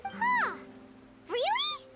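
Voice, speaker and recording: A woman voicing a tiny, excitable, childlike fairy companion girl in a video game, squeaky and prone to exclaiming. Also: drawn-out words, music in the background, heavily acted. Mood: surprised. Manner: refers to itself in third person, exclaiming.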